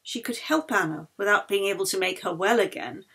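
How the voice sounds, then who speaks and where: A woman narrating a novel as its author, with dramatic, deliberate phrasing. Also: medium pitch, gravelly, a bit bright, slightly rough. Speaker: author reading their own novel. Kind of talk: expressive audiobook narration. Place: recorded at home.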